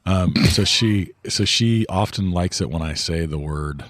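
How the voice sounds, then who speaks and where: A man's voice: low-pitched, measured pace, no room sound, studio quality. A man with an Oklahoma accent hosting a podcast, chatting casually with self-mocking, stumbling restarts. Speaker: podcast host. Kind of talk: casual chat.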